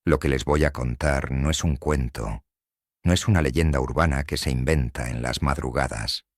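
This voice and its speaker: A man's voice: a bass voice, moderate pace, no room sound, studio quality. A man with a Mexican accent narrating a scripted horror story in a grave, suspenseful manner.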